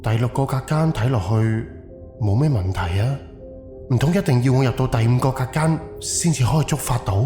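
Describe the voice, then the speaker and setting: A man's voice: mid-range voice, a little fast, natural voice. A man playing a wary, analytical haunted-house investigator thinking aloud in an audio drama, with a musing, questioning delivery. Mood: puzzled, wary. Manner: musing, questioning, muttered self-talk. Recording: echoey reverb, music underneath. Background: background music.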